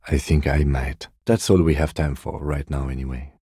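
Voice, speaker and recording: An actor playing a composed middle-aged police detective in a video game, speaking in a measured, formal, dryly polite way. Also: male voice, very deep voice, steady tone, dark tone, breathy edge, no room sound, studio quality. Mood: calm, formal. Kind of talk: dramatic game dialogue, separate voice lines.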